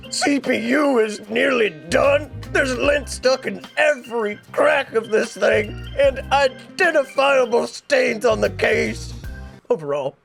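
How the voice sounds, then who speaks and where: A man voicing a grumpy, bitter, muscular barkeep in an anime parody, gruff, exasperated and foul-mouthed. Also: very high-pitched, a bit bright, exaggerated voice.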